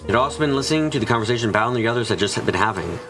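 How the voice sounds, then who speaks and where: A man's voice: medium pitch, animated, racing, music in the background, poor audio. A man reading scripted story narration as the narrator of a fan-dubbed visual novel.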